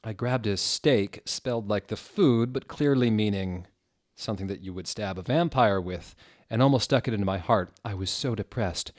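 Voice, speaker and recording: A man's voice: on the low side, normal speed, no echo, studio quality. A man doing a mock-dramatic, amused comedic reading of a story. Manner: theatrical, amused, swings into loud exclamations. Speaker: reader of fan fiction.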